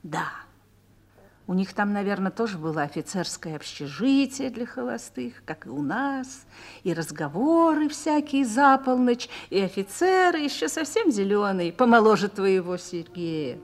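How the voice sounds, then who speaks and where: Radio drama casting: mature army wife, mid-pitched, tender, wistful storytelling. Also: female voice, sing-song, a little slow. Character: tender, reminiscing older female officer's wife. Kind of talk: Russian radio play acting.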